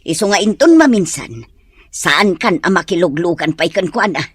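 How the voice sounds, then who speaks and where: A woman's voice: on the low side, lively intonation, moderate pace, breathy edge, no room sound. A woman playing a protective, fussing mother in an audio drama. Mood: concerned, scolding. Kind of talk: Ilocano audio drama acting.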